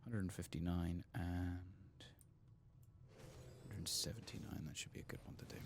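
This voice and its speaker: A man hosting a gaming video in a soft-spoken ASMR style, sometimes whispering, murmuring his thoughts unhurriedly as he plays. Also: sits low, level tone, noisy background. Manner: murmured, unhurried musing to himself.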